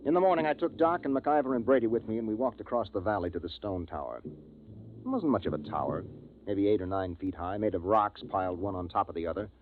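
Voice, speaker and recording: A man's voice: lowish voice, fast, noisy background, natural voice. A man playing a decisive spaceship captain who gives orders and narrates events in a 1950s radio drama. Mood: commanding, matter-of-fact. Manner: decisive, commanding, giving clipped orders. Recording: muffled vintage radio recording.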